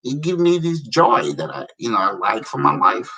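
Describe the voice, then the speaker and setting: A middle-aged man from Africa, a guest telling lively stories about public service in a casual talk, laughing often. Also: mid-range voice, normal speed, gravelly, slightly rough, far from the mic, rough recording. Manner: animated storyteller, laughs often. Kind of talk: casual radio conversation.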